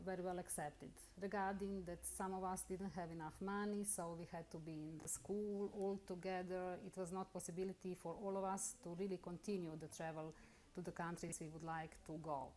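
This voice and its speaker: A middle-aged woman with a Bosnian accent, carefully telling her own story in halting English during an interview. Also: mid-range voice, slight background noise. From Bosnia and Herzegovina. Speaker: interviewee, lawyer. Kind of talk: personal account in an interview.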